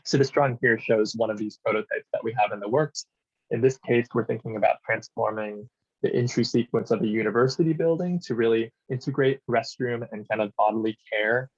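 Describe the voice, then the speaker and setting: A man, an architect, giving a conference presentation in a measured, explanatory way with frequent "um" fillers. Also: medium pitch, dull audio. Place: New York City.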